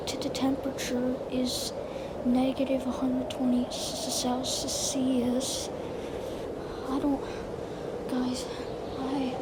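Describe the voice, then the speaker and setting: Girl acting scared freezing news reporter, high voice, slow n stuttery, amateur disaster roleplay, loud noise behind. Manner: stammering, shivering, halting delivery. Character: freezing, frightened news reporter facing the world's end. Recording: very noisy home recording.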